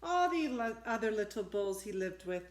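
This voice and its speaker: A middle-aged woman reading picture books aloud to children with expressive, deliberate phrasing and chatty asides. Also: mid-range voice, a little hiss or hum. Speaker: storytime reader for children. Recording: echoey, roomy sound.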